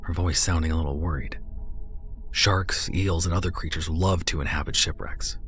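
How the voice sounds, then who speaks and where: A man narrating a scripted horror story with a hushed, dread-filled delivery. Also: deep voice, fairly even intonation, normal speed, faint music behind.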